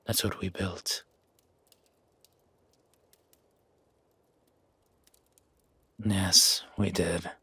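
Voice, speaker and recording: Gruff werewolf founder, played by a male actor: heavily rasping low voice, slow guarded delivery, dry and protective, for roleplay audio drama. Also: fairly bright tone, no echo, heavily acted. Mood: reflective, then quietly menacing. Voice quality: husky, gravelly rasp. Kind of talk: roleplay audio drama, intimate one-sided dialogue.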